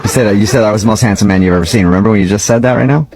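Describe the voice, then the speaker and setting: A man's voice: fairly low pitch, rapid-fire, background noise, lightly acted. A man playing a pushy, self-absorbed married boss, wheedling and insistent in a comedy prank call. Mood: needy, insistent. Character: pushy, needy married boss with office-affair schemes.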